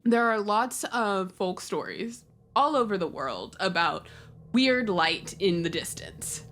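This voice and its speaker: A woman's voice: mid-range voice, lively intonation, slow pace, fairly bright tone, slight background noise. A woman chatting casually on a podcast, with a playful, slangy, irreverent way of talking. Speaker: podcast panelist. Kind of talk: casual chat.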